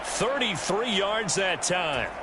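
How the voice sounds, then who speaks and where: Over a very loud crowd and heavy noise, an American man calls football play-by-play. His voice sits a little high for a man. He delivers short, clipped broadcast phrases in the manner of a sports video game commentator. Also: sing-song, takes it slowly. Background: loud stadium crowd ambience.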